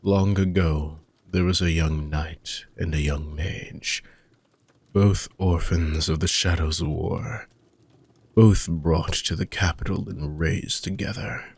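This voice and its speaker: A man playing an ancient, weary dark mage villain with a gravelly, husky voice, speaking in soft, deliberate, formal phrases as an intimate fantasy audio roleplay monologue. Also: low-pitched, no echo, heavily acted. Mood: solemn, brooding. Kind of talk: slow, intimate dramatic monologue for sleep-aid audio roleplay.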